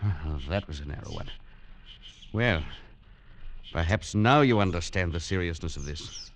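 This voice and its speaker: An actor playing a stern, exasperated English expedition leader in a radio drama, with an urgent, scolding, emphatic manner. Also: male voice, deep voice, animated, measured pace. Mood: concerned. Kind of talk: old-time radio drama acting. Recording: noisy vintage radio drama recording.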